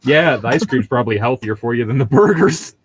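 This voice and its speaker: A man's voice: medium pitch, lively intonation, brisk, crowd noise. A man in casual conversation as a co-host, telling stories in a sardonic, exasperated way. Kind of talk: casual chat.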